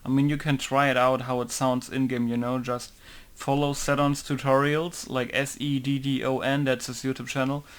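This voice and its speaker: A man's voice: mid-range voice, flat delivery, normal speed, hardly any pauses. A man casually talking through a game modding tutorial in an informal, rambling way, swearing now and then. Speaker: game modder.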